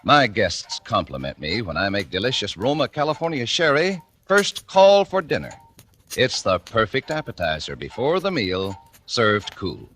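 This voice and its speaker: A man's voice: fairly low pitch, big pitch swings, fairly bright tone. A man working as a sponsor's announcer, giving a polished, scripted 1940s radio advertising read. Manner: polished, measured salesmanship. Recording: faintly noisy 1940s radio recording.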